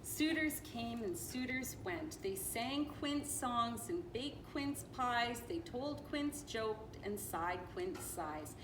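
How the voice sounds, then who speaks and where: A woman reads a storybook aloud in a fairly high, very lively voice. She is playful and dramatic, adding small asides as she goes. The recording is roomy, with noticeable noise behind her. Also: slow pace, hardly any pauses. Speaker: storyteller reading a picture book aloud. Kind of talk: animated children's read-aloud with asides. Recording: roomy echo with clear background noise.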